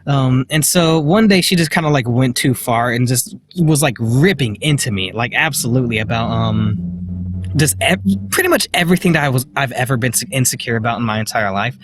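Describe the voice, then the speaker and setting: A young man vlogging outdoors into a handheld phone. He tells a personal story in a quiet voice, full of fillers and self-deprecating nervous laughs. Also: medium pitch, animated, a little fast. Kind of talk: rambling personal story to camera. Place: outdoors, walking in public.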